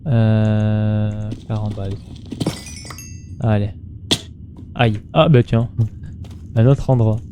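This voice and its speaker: A man's voice: fairly low pitch, fairly even intonation, takes it slowly, pauses often, phone-quality sound, music in the background. A man doing casual gameplay commentary in an informal, slangy way, muttering to himself and chatting to his viewers. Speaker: gaming streamer.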